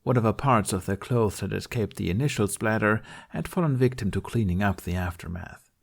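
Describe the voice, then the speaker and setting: A man reading a fantasy story aloud as an expressive amateur narrator. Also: lowish voice, a little fast, creaky. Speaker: amateur podfic reader.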